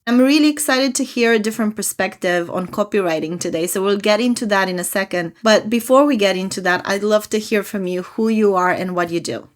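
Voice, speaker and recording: A woman's voice: mid-range voice, fairly quick, few pauses. Woman podcast host asking questions. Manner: friendly, encouraging questions. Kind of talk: podcast interview.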